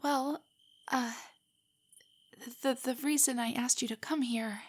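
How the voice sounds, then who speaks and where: Breathy, shy young nerdy girlfriend, acted by a woman. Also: high voice, fairly even intonation, slow pace. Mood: nervous, shy. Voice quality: soft, breathy, whispery. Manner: nervous, stammering, hesitant rambling. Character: shy, nerdy, easily flustered young adult girlfriend on a date. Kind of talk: intimate audio roleplay.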